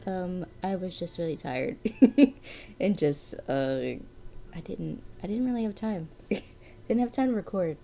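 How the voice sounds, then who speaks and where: A woman's voice: fairly low pitch, lively intonation, a little slow, warm tone, slight background noise. A young adult woman casually commentating a game, rambling and laughing often, a bit sniffly from allergies. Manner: rambling, laughs often, restarts her words. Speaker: game streamer. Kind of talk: casual let's play commentary.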